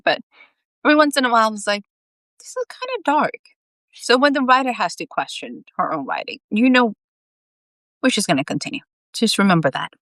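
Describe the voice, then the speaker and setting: Female storyteller, very lively pitch swings, informal and chatty, close dry sound. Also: no room sound. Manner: chatty, self-deprecating, informal. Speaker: author reading her own book aloud. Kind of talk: animated storytelling with casual asides.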